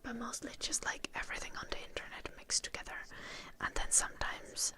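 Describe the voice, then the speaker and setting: A woman from Finland hosting a live ASMR stream, whispering softly to her chat in English with a light Finnish tinge, close to the microphone. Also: brisk. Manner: soft whisper, reading chat names. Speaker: ASMR livestream host.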